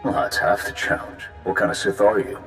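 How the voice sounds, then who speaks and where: A man's voice: on the low side, brisk, a bit bright, close to an everyday voice. A man playing a confident, teasing military officer in a video game, dry and businesslike. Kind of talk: dramatic video game voice acting. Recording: echoey, music behind.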